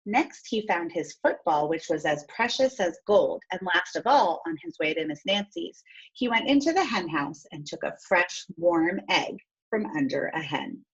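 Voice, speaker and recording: A woman teacher reading a storybook aloud and pausing to explain things step by step in an instructive way. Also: lowish voice, lively intonation, husky, dull audio.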